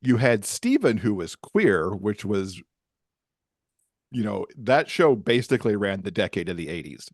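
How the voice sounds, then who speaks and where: A man with a fairly low voice talks casually as a podcast guest. He is chatty and conversational, recorded dry with very little room sound. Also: very animated, a little slow, dry recording.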